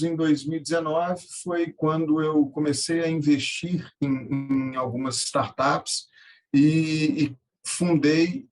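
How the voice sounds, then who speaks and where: A man, answering interview questions conversationally and haltingly, often repeating words. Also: mid-range voice. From Minas Gerais, Brazil. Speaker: podcast guest, tech founder and angel investor.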